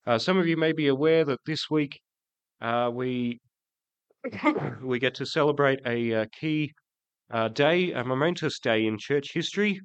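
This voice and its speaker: A man getting over a cold preaches from the pulpit, his voice a little croaky. He speaks in a halting, casual way, full of ums and restarts, at a middling pitch. The sound is close and dry, with no room echo. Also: lively intonation, dull audio. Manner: hesitant, many ums and restarts. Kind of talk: sermon with prayer. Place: church.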